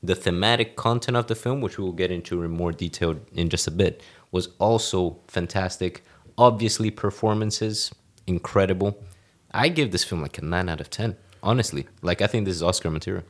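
A man's voice: low voice, fairly quick. A man hosting a casual film podcast, talking enthusiastically and swearing casually. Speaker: podcast host.

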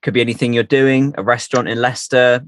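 A man's voice: medium pitch, little pitch movement, quick pace, a bit bright, no room sound. Male radio host, dry sound. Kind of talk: casual interview presenting.

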